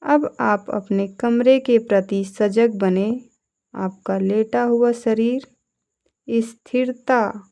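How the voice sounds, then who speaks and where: A woman leading a guided yoga nidra meditation as an instructor, calmly giving short, deliberate instructions. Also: on the high side, creaky.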